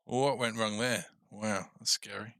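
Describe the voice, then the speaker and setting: Low-pitched man with a crisp, bright voice. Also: fairly even intonation, a little slow, no room sound, studio quality. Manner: informal, thinking aloud. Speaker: game development tutorial host. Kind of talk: casual coding livestream commentary.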